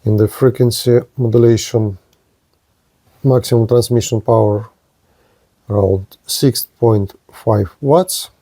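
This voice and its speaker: Slow-talking, mellow-voiced man. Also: on the low side, steady tone. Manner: halting, short phrases. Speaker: product reviewer. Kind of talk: hands-on radio gear demonstration.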